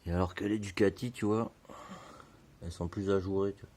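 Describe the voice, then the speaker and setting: A hoarse man giving a relaxed, slangy how-to explanation full of fillers, in a home garage. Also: low voice, fairly quick, background noise. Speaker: motorbike hobbyist.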